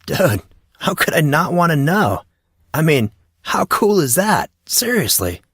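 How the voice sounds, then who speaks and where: A man acts out a teenage boy for an audiobook, an enthusiastic martial arts student. He talks in loose, slangy bro-talk with lively swings in pitch. The sound is dry and close. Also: unhurried, slightly rough, dry recording, heavily acted. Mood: excited. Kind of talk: audiobook character dialogue.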